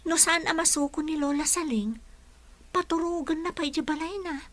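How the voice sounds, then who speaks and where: A caring female teacher played by an actress in a radio drama. She speaks in a fairly high voice with a gentle, confiding manner. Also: moderate pace.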